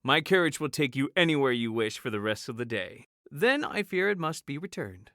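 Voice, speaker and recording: An actor voicing a wry, distrustful sea captain in a fantasy audiobook, with formal phrasing. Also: male voice, medium pitch, big pitch swings, moderate pace, fairly bright tone. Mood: courteous. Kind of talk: audiobook character acting.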